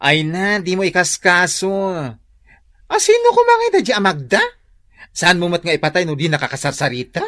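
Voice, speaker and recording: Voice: medium pitch, big pitch swings, moderate pace, fairly bright tone, no room sound, studio quality. An actor playing a sassy, indignant, gossipy cousin in an Ilocano radio drama, with an Ilocano accent. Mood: chatty. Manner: exclaiming, indignant, teasing. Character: gossipy, sassy cousin who takes the friend's side.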